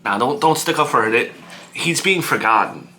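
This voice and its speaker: A sharp, forceful voice from a man acting as a divorced young father who feels wronged. He is bitter, defensive and agitated, circling back on his own phrases and stumbling as he justifies himself. There is some noise behind him, as in a homemade drama skit. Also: medium pitch, lively intonation, normal speed. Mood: indignant, resentful. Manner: agitated, repetitive, stammering over his words.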